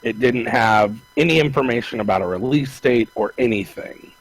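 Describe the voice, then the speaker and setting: A man's voice: medium pitch, moderate pace, breathy edge, dry recording, rough recording. A man co-hosting a casual podcast, talking in a rambling, hesitant way with many restarts. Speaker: podcast co-host. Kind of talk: casual chat.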